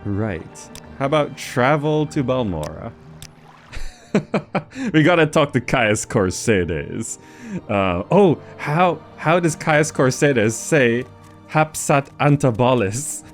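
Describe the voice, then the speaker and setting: A man's voice: medium pitch, big pitch swings, takes it slowly, faint music behind. A man giving casual gameplay commentary, thinking aloud in a hesitant way as he goes. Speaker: gamer presenting a game mod.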